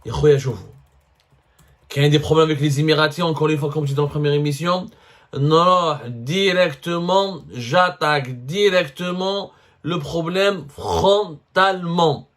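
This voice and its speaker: Emphatic higher-pitched Algerian man, political commentary. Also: unhurried, fairly bright tone. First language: French. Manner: emphatic, repeats phrases for stress. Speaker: host of a political commentary show. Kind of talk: opinionated political commentary addressed to viewers.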